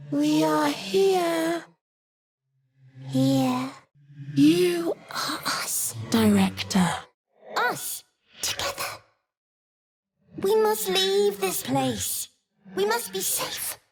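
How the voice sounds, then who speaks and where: A strange little game creature with a high, childlike voice. It speaks very slowly in short, halting, whispery phrases, devoted to its hive and eerily insistent. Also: female voice, expressive, creaky, a little hiss or hum, full character voice. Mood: devoted, reverent. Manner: slow, halting, clipped sentences. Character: eerie childlike intellect devourer creature, devoted hive-minded, urgent. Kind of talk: video game voice acting.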